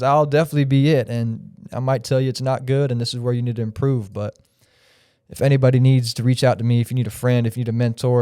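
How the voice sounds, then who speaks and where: A man in his thirties from Florida, talking as a podcast guest. His voice is warm, mellow and resonant, and it sits close and dry on the mic. He rambles in a reflective, freewheeling way. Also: medium pitch, no room sound. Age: 30s. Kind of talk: casual podcast chat.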